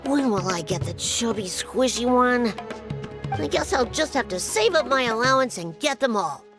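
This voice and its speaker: Pouty eager little kid in a game, high voice, very animated. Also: female voice, moderate pace, soft background music. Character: eager, pouty young child collecting toys. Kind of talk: animated video game voice acting.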